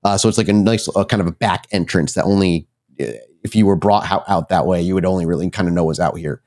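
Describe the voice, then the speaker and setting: Deep-voiced male game master telling horror. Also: quick pace, darkish tone. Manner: suspenseful storytelling in short broken phrases. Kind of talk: narrating a tabletop horror game.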